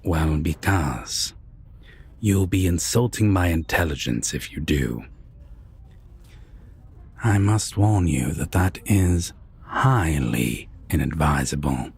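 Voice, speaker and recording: A man playing a menacing, condescending vampire captor in a roleplay audio drama, talking in a mocking, deliberate way with husky, breathy whispers. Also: very deep voice, lively intonation, darkish tone, exaggerated voice. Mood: cold warning. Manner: slow, deliberate, mocking, formal phrasing.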